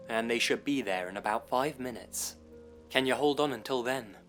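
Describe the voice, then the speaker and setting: A man reading a scripted first-person horror story in a measured, grave and suspenseful manner. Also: on the low side. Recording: music behind the voice. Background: background music.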